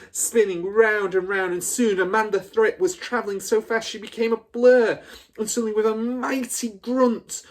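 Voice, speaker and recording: A man reading a children's story aloud as a teacher would, with dramatic, emphatic expression. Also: sits high.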